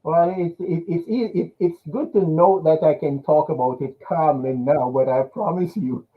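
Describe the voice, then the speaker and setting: Older Jamaican man, higher voice, muffled phone-like sound. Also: normal speed. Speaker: interview guest, business coach. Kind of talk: personal storytelling in an interview.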